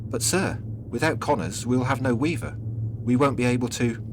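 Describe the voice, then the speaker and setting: A man's voice: mid-range voice, expressive, normal speed, pitched above the performer's own voice, lightly acted. A man plays a villain's nervous woman assistant. She is hesitant and deferential, speaking in an audio story with noticeable noise behind the voice. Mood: anxious.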